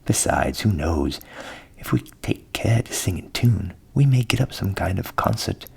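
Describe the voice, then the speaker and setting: A man voicing a friendly, persuasive old donkey from a storybook, genial and encouraging in a low, creaky half-whisper. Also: expressive, unhurried, a little mellow, exaggerated voice. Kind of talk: storybook character voice in ASMR reading.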